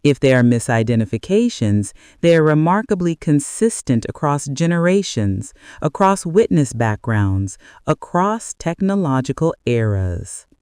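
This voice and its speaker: A man narrating a scripted bedtime mystery story in a measured, deliberate way with dramatic pauses. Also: a little high, big pitch swings, a little mellow, smooth, dry recording, studio quality.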